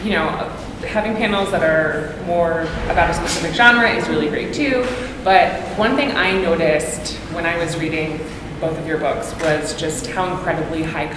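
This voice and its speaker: A woman with a low voice moderates a book panel, chatty and full of fillers, seated at a table in a large hall. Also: moderate pace. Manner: chatty, lots of "like" and "um", acts out quoted exchanges. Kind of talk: casual panel talk. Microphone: table microphone. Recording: echoey hall with background noise.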